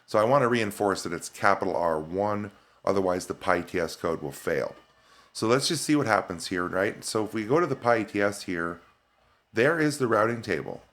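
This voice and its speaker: A man presenting a software demo in a casual, informal way, thinking aloud as he works through it. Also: fairly low pitch, animated, moderate pace. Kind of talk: casual screen-share tech demo.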